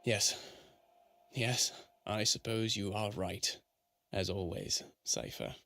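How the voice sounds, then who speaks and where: An actor playing a gracious, ailing prince in a radio drama, with creaky, weary, refined speech and a stoical, kindly temper. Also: male voice, fairly low pitch, big pitch swings, takes it slowly, bright, forceful tone, exaggerated voice.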